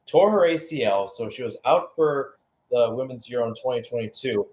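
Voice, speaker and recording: A man's voice: medium pitch, expressive, moderate pace, darkish tone. A man giving live radio-style football play-by-play, mixing calls of the action with conversational analysis, hesitations and self-corrections. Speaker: play-by-play commentator.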